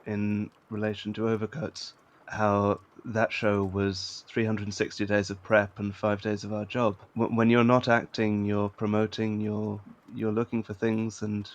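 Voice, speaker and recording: A man's voice: on the low side, completely flat delivery, unhurried, muffled recording. A man talking casually as an interview guest and voice actor, with a dry, wry manner.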